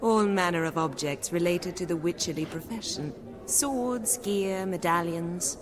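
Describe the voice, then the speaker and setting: A woman playing a wealthy aging countess in a video game, wistful and genteel, with formal, measured speech. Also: fairly low pitch, crowd noise, close to an everyday voice. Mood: coy, gracious. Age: older.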